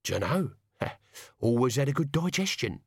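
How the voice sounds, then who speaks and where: A man's voice: medium pitch, very animated, moderate pace, creaky, husky, no echo, studio quality, voice pushed up, full character voice. A man voicing a cheeky old manservant, blunt and casual, with colloquial speech and dropped consonants. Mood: cheerful, unrepentant.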